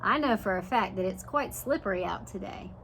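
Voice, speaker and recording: A woman voicing a fussy, excitable, bossy housewife from a children's storybook, speaking emphatically. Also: medium pitch, brisk, a little hiss or hum. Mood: insistent.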